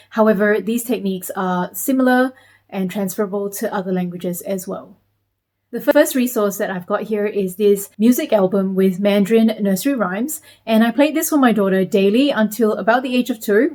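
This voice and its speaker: A woman in her thirties from Malaysia, talking to camera in a measured, explanatory way as a parenting vlogger. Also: medium pitch, darkish tone. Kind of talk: scripted talk to camera.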